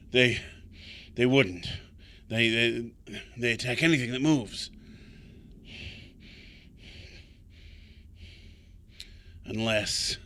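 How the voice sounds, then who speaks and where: An actor playing a troubled, weary older man in an audio drama, speaking gravely and haltingly, with insistence. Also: medium pitch, pushed and bright. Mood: shaken, hesitant. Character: troubled, weary older man, secretive guide fearing an ancient evil.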